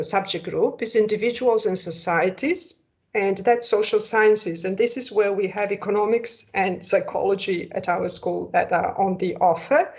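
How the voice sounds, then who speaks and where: A woman walks prospective families through a school program over a video call, explaining each option with examples and rhetorical questions. Her voice sits in a middling pitch, and the call audio sounds dull and lo-fi. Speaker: school presenter. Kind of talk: online information session.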